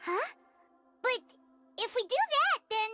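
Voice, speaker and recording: Tiny, very high-pitched childlike fairy. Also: female voice, big pitch swings, slow pace, over music, full character voice. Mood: concerned, eager. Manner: squeaky, exclamatory, refers to herself in third person. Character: small excitable floating fairy companion girl, childlike and hungry. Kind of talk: video game voice acting.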